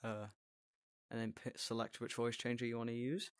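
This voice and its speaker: Male tutorial host, medium pitch, casual step-by-step delivery, very little room sound. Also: level tone, moderate pace, dry recording.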